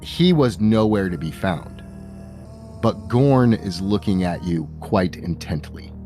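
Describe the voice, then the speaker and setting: A man's voice: deep voice, expressive, takes it slowly, warm tone. A man improvising narration and dialogue as game master of a tabletop role-playing game, with music behind him.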